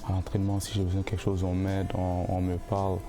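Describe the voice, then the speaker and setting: A young male football player answering interview questions in a casual, halting way, often repeating words while he looks for the phrasing. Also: low voice, completely flat delivery, over music. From born in the United States, raised in Canada. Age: young adult.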